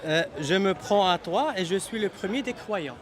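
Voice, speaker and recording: Male street debater, very high pitch, halting and repetitive but insistent, busy crowd noise behind. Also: lively intonation. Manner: halting, repeats phrases, insistently challenging. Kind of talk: informal religious street debate.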